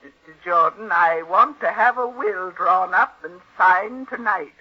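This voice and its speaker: Imperious ailing aunt, older,, husky and commanding, vintage radio drama with muffled sound. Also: female voice, on the low side, unhurried, soft background music. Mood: grave, insistent. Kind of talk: 1940s radio acting. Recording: muffled old radio recording.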